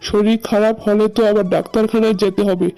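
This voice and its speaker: Woman playing a patient grown son, mellow voice. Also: medium pitch, fairly even intonation, fast, natural voice, low-quality recording. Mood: placating. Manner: reasoning, placating. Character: peace-making adult son, patient and pleading. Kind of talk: cartoon voice acting.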